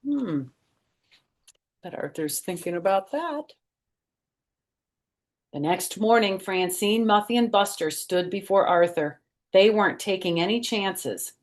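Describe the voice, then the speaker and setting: A woman's voice: medium pitch, expressive, takes it slowly, pauses often. A woman hosting storytime, reading a picture book aloud expressively and adding chatty asides.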